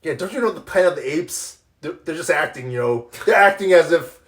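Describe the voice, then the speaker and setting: A man co-hosting a casual movie podcast in a laid-back, agreeable way, often trailing off quietly. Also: a little high, lively intonation, fairly quick. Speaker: podcast co-host. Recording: roomy echoey room.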